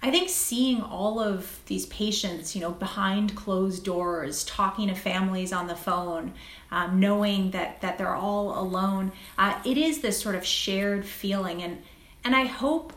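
A woman with a mid-pitched voice talks in a roomy, echoing space. She is reflective and conversational, circling back over phrases as she works out a thought, like a doctor being interviewed. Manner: reflective, halting, repeats phrases as she thinks. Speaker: guest, critical care physician. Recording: echoey, roomy sound.

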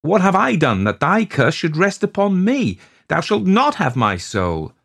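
A man's voice: medium pitch, sing-song, unhurried, pitched above the performer's own voice. A man voicing an anguished young huntsman in love, pleading and trembling in archaic storybook speech. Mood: defiant. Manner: trembling, imploring, archaic diction.

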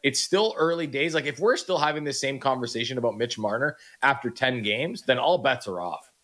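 A man co-hosting a sports talk radio show, breaking down the team in a measured, analytical way. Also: mid-range voice, expressive, pushed and bright.

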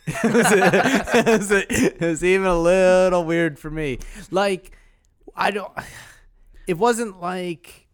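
A man's voice: high-pitched, slow, clear, resonant tone. A man co-hosting a podcast, chatting casually. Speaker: podcast co-host. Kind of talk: casual banter.

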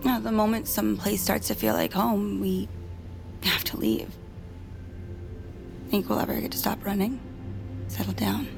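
An actress playing a tender, teasing woman on the run, murmuring softly in an intimate audio drama. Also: medium pitch, unhurried, gravelly, long pauses, over music. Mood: wistful. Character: tender, teasing young woman, fugitive lover of an assassin.

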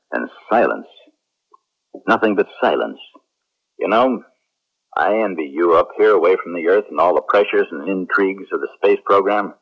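A man acting a confident, strict military spaceship captain in a 1970s radio drama, commanding and self-assured. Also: on the low side. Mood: awed, expansive. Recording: muffled 1970s radio drama recording, faint noise.

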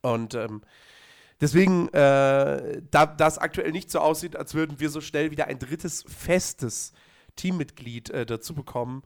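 Hoarse man with a cold. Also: on the high side, a little slow. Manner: rambling, many fillers and restarts. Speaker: podcast co-host. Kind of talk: casual chat.

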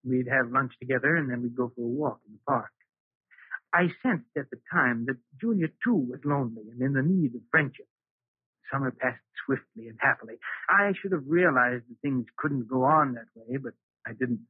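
A man's voice: medium pitch, moderate pace, husky, no room sound, close to an everyday voice. Lovesick man played by an actor, vintage radio drama. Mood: reflective. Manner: earnest, pleading, dramatic. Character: lovesick, regretful, unhappily married man recounting his past. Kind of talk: 1940s radio drama acting. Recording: muffled, remastered old radio recording.

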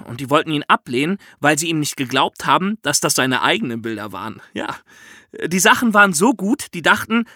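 A man co-hosting a podcast in a lively, conversational style, telling things in a chatty, colloquial way. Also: a little high, dry recording, studio quality. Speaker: podcast co-host.

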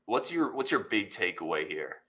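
A man hosting a casual sports podcast, his voice gravelly and hoarse from a cold, talking in a loose, conversational way. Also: fairly low pitch, normal speed. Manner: relaxed, conversational, reads ads loosely with fillers. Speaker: podcast host.

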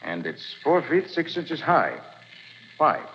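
An actor playing a calm, confident private detective in a 1940s radio drama, brisk and assured. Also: male voice, a little high, full character voice. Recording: muffled old radio broadcast, faint hiss.

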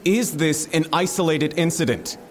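An actor playing a pushy, persistent news reporter in an audio drama, calling out insistent questions. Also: male voice, fairly high pitch, moderate pace, close to an everyday voice. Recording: noisy room ambience, distant voice.

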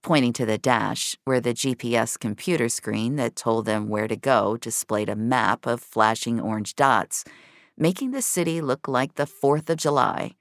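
A woman's voice: very deep voice, normal speed, no echo, clean studio sound. A woman narrating scripted science-fiction drama with a wry, noir storytelling manner.

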